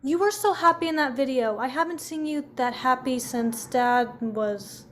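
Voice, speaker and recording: Woman as a high-voiced sassy daughter. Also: normal speed, lightly acted. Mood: insistent. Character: pushy, sassy daughter, a kid or teen. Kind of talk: table read of a comedy script.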